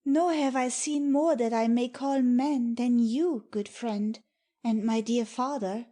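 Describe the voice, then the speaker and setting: A woman plays a tender young maiden in a Shakespeare audiobook. Her voice is high and soft-edged, mellow in tone, and she takes the verse slowly and earnestly, with innocent devotion. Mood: shy, earnest. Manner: slow, earnest delivery of verse. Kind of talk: dramatic Shakespeare audiobook reading.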